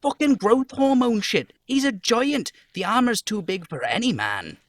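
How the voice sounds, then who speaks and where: Loud high strained bratty street kid, sweary and sneering, game character. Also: male voice, very animated, unhurried, no room sound, studio quality, heavily acted. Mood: aggressive, scornful. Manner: swearing, sneering, refers to himself in third person. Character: foul-mouthed aggressive bratty young street boy, Kuno.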